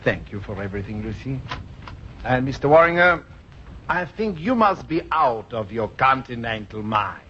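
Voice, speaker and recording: An actor as a courtly, animated music teacher. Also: male voice, medium pitch, normal speed, natural voice. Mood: polite, indignant. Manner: courtly, formal, effusive. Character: smooth, flattering gentleman music teacher, politely oblivious. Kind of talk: 1930s-40s radio comedy acting. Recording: muffled, hissy vintage radio recording.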